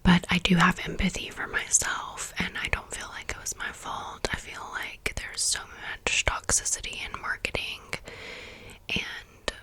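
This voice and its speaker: A woman in her thirties whispering softly in a chatty ASMR show-and-tell, blunt and casual. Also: lowish voice, monotone, a little slow, breathy edge. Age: 30s. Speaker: ASMR creator. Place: home room with fridge and outside noise.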